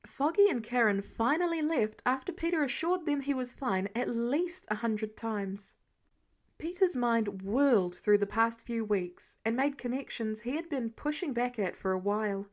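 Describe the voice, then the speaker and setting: A woman reading fiction aloud in an expressive audiobook narration style. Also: a little high, moderate pace. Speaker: fan fiction reader.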